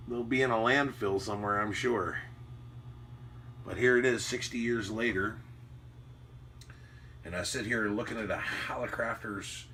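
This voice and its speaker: A man's voice: fairly low pitch, sharp tone. An American man, an amateur radio operator, rambling casually and nostalgically in a reflective, conversational monologue. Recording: clearly noisy audio with hum.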